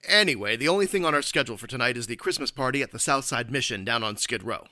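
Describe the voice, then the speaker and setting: An actor playing an earnest, stuffy caped crime fighter with a formal, straight-laced manner in a comedy radio play. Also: male voice, medium pitch, expressive, fast, pushed and bright, dry recording, clean studio sound.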